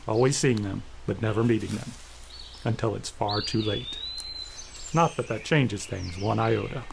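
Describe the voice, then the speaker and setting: A man with a gravelly voice delivering a scripted sign-off narration. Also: lowish voice, measured pace. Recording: clear background noise, outdoor-like ambience.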